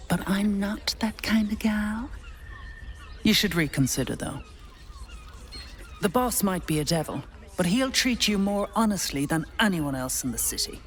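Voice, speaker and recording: Lively, cheeky streetwise agent. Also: female voice, medium pitch. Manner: teasing, colloquial, familiar. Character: cheeky streetwise female devil's agent, brash and persuasive.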